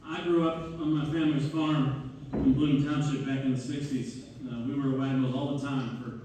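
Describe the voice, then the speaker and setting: A man's voice: medium pitch, steady tone, moderate pace, mellow, natural voice. A man playing an older farm-raised townsman, reminiscing gratefully in a hesitant, folksy way on stage. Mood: nostalgic, grateful. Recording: reverberant hall from far off, faint noise.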